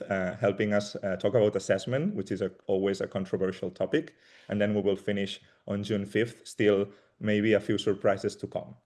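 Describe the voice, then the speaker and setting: A man who is not an English speaker, hosting a webinar over a video call and speaking in halting phrases full of repeats and fillers. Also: on the low side, darkish tone. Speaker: webinar host.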